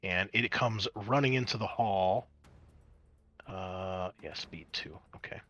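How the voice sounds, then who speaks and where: Bright-voiced man running a tabletop game. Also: low-pitched, slow, dry recording, dull audio. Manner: casual swearing, muttering asides while working the game software. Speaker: game master. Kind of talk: running an online tabletop roleplaying game, casual. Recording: music behind the voice. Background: music.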